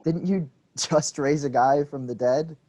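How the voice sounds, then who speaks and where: An actor playing an eager, deferential disciple who earnestly prods and flatters his boss in a comic play reading. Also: fairly high pitch, unhurried. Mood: advising.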